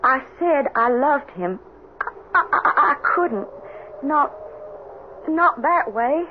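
An actress with an American accent playing a proud, earnest young frontier widow in an old-time radio drama, emotionally strained. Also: female voice, high voice, animated, slow. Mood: anguished. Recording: tinny, noisy old radio recording.

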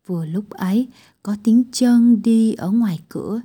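A woman narrating a children's fairy tale, reading slowly and clearly. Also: fairly high pitch, warm tone. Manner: slow, clear storytelling. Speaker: storyteller.

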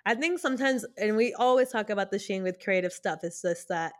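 A woman's voice: medium pitch, a little fast. A woman co-hosting a podcast in casual, slangy chat, gushing and giggly as she talks and reads cards. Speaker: podcast co-host. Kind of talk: casual chat with tarot card readings.

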